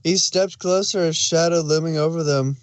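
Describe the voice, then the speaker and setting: Male narrator, fairly high voice, scripted storytelling, close dry sound. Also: steady tone, normal speed, dry recording. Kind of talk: scripted storytelling, fan fiction read aloud.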